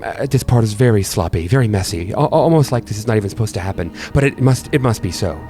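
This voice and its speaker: A man's voice: fairly low pitch, animated, dark tone, soft background music, natural voice. A man voicing a mousy, nervous, forgetful fellow who stammers, hums to himself and repeats his phrases in a tabletop game. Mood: hesitant, flustered. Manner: halting, stammering, repetitive, humming to himself.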